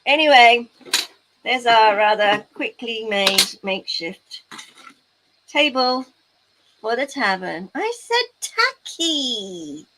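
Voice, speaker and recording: A woman's voice: fairly high pitch, very animated, slow, pushed and bright, slight background noise. A woman hosting a casual crafting livestream, chatting playfully and teasing her viewers as she works. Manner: playful, teasing asides to chat. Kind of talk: casual chat while crafting, reading out chat.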